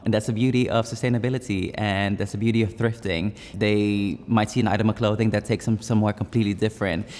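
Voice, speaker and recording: A man's voice: lowish voice, steady tone, fast, few pauses, a little mellow, long reverb tail. A man from Brazil, a judge, chatting enthusiastically to camera and often saying "you know, like". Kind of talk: promo interview to camera.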